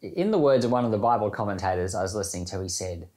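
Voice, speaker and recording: A man's voice: fairly low pitch, expressive, fast, darkish tone. A man speaking as a pastor, giving an informal, reflective devotional talk in plain, conversational language. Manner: informal, reflective, plain-spoken, explaining with everyday examples. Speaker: pastor giving Bible podcast commentary and prayer.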